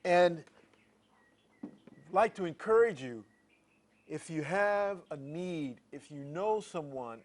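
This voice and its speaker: Earnest man hosting a talk show. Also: on the high side. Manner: earnest, direct appeals to viewers. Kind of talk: hosted talk segment.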